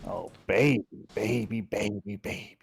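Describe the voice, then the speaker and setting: A man's voice: fairly low pitch, unhurried, vocal fry, breathy edge. A man chatting casually as a player in a tabletop game.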